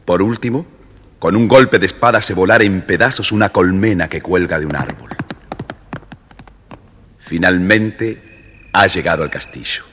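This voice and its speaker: Deep guy narrating a kids story, very expressive, old reverby record. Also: unhurried, long pauses. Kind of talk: scripted fairy-tale narration. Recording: old record, long reverb, faint noise.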